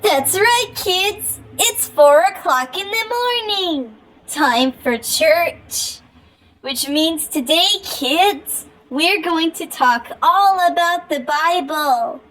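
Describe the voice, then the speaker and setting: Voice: extremely high pitch, expressive, slow pace, fairly bright tone, exaggerated voice, low-quality recording. A performer voicing a chirpy feline puppet who hosts a parody children's show, talking to kids in a sing-song way. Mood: cheery.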